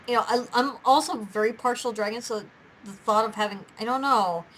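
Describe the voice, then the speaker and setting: A woman's voice: fairly high pitch, a little fast, a bit bright, slight background noise, poor audio. A young woman hosting a casual chat, talking in a giggly, rambling way. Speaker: host of a fan gaming and quiz show.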